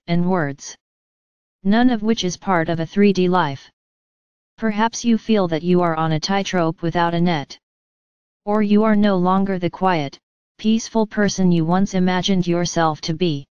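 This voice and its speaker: A woman narrating a channelled spiritual message in a measured, earnest reading addressed to the listener. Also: fairly low pitch, a little mellow, clear, resonant tone, no echo. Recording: slightly dull, dry lo-fi voice-over.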